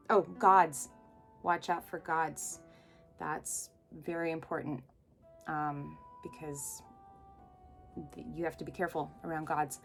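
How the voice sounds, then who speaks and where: Woman, tabletop player, very slow, hesitant and searching for words. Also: lowish voice. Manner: hesitant, searching for words, with many ums and restarts. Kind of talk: improvised retelling of advice.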